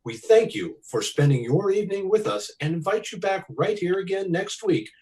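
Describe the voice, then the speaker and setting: A man's voice: high voice, expressive, normal speed, a little mellow. A man announcing in a polished, salesmanlike 1940s radio style.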